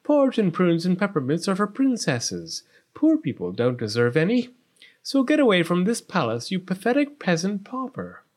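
A man's voice: high-pitched, lively intonation, moderate pace, voice pushed up. A man voicing a vain, spoiled young storybook princess with a haughty, snappish manner. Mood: scornful.

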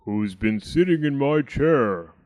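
A man voicing a big, gruff, indignant daddy bear with a deep, growling, roaring delivery for a children's storybook. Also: lively intonation, takes it slowly, no room sound. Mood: angry, bellowing. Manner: loud, roaring.

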